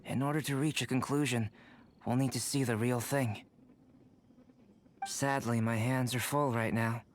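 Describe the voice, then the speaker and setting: A man voicing a calm, courteous young scholar-alchemist with a measured, formal manner in an anime video game. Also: medium pitch, a little hiss or hum, lightly acted. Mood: thoughtful. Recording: faint music behind the voice.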